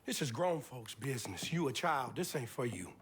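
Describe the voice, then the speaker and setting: An actor playing a gruff, guilt-ridden man turning monster in a video game, with a Southern US accent. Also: mid-range voice, lively intonation, normal speed, slight background noise, close to an everyday voice. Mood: stern, evasive. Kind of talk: dramatic video game acting. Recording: loud music over the voice.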